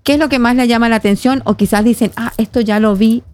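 A woman hosting an interview, asking friendly, drawn-out questions. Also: a little high, steady tone, a little mellow, faint music behind. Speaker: interviewer, host. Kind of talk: casual interview.

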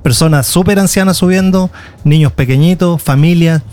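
A man's voice: on the high side, a little mellow. A man with a Chilean accent, talking casually as a guest about life abroad and his city tours, often restarting his sentences. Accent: Chilean Spanish. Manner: chatty, restarts often, draws out pauses. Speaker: tour guide and guest. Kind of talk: casual conversation.

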